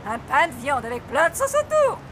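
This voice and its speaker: Very shrill doting cartoon mother. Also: wildly swooping pitch, a little fast. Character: doting mother calling out in singsong. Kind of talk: French children's cartoon dubbing.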